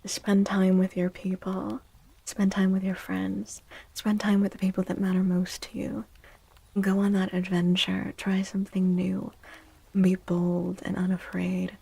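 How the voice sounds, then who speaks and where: Slow, breathy, soft-spoken ASMR woman. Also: medium pitch, monotone. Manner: gentle, hushed, unhurried, soothing repetition. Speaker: livestream host reading tarot cards for viewers. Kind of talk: soft-spoken ASMR tarot reading, often whispered.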